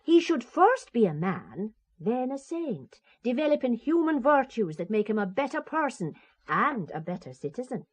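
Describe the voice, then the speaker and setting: A woman's voice: a little high, very animated, moderate pace. An actress playing a devout, feisty Irish woman in an audio drama, with an Irish accent and a spirited, teasing, argumentative manner. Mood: earnest.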